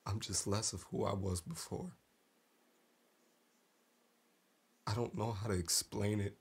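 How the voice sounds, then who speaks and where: An actor playing a tormented, resigned killer and estranged brother in a stage drama, speaking haltingly in a gravelly, husky voice. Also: male voice, fairly low pitch, fairly even intonation. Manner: slow, halting, haunted.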